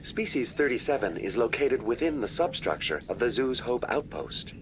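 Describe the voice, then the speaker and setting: A man voicing a polite, detached virtual assistant in a video game, speaking in a formal, measured way. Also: medium pitch, very animated, slight background noise, lightly acted. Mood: impassive, formal. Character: polite, impassive male virtual assistant, unfailingly procedural.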